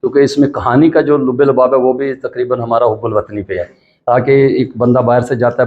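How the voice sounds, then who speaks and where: A man's voice: mid-range voice, a little mellow. Pakistani man explaining, in dull audio. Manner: explanatory, conversational. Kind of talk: informal interview answer. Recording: dull lo-fi event interview.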